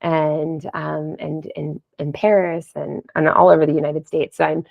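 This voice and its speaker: Woman podcast guest with low, creaky voice. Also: flat delivery, measured pace, no room sound. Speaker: podcast guest, wellness app founder. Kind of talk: casual interview conversation. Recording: slightly dull lo-fi audio.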